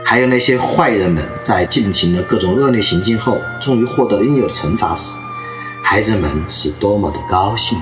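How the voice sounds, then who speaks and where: A man in his thirties or forties giving a recorded lecture, telling stories in a lively way. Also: lowish voice, brisk, over loud music. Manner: lively storyteller who acts out anecdotes.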